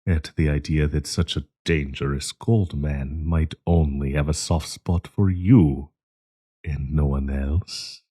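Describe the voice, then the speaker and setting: A man playing a sinister, possessive werewolf professor in an intimate audio roleplay, coaxing and menacing, with a husky, breathy voice. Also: very deep voice, fairly even intonation, dark tone, no echo, studio quality, full character voice. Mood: seductive, possessive. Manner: slow, coaxing, menacing endearments.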